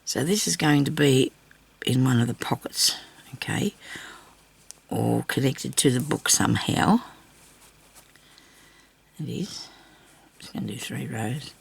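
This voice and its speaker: An older Australian woman with a low, husky voice roughened by a lingering cough, chatting casually and self-deprecatingly as she rambles through a craft video. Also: measured pace, pauses often. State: lingering cough after weeks of sickness. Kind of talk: casual chat while hand stitching.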